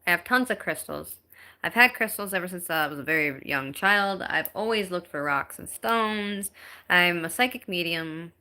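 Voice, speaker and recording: A woman in her thirties chatting casually on a live stream into her phone at home, reading out viewer comments and talking in a halting way, with many ums and restarts. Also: fairly low pitch, lively intonation, bright, forceful tone. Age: 30s.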